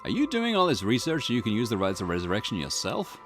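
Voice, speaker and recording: A man playing a calm, politely persistent police detective in a visual novel, measured and courteous as he questions. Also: lowish voice, faint music behind. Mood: inquisitive. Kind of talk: acted visual novel dialogue.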